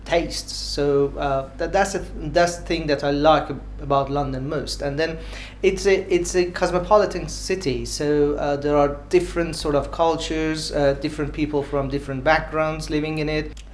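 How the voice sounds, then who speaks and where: A man in his forties with an Iranian accent answering interview questions, pausing often on filler sounds. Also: on the high side, fairly even intonation, a little hiss or hum. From Iran. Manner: hesitant, many filled pauses. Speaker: dentist interviewee.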